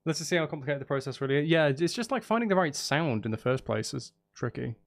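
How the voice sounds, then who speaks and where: Male streamer, casual and thinking aloud, animated pitch with some fry, music-making session. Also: fast talker, no echo. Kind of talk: casual livestream chat while making music. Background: electronic drum beats and synth sounds.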